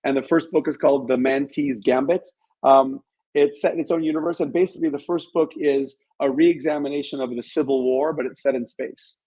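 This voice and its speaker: A middle-aged man with a mid-pitched voice talks as a guest in a casual interview. He tells his stories in a candid, chatty manner and is happy to make himself the butt of them. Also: steady tone, fairly quick, mellow, breathy edge, rough recording. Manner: candid, self-deprecating, chatty. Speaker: interview guest, author and business owner.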